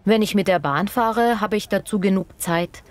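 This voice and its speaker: A woman's voice: mid-range voice, moderate pace, lightly acted. A woman playing a practical working passer-by, giving matter-of-fact answers in a scripted language-lesson dialogue. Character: practical working woman stopped for a street survey.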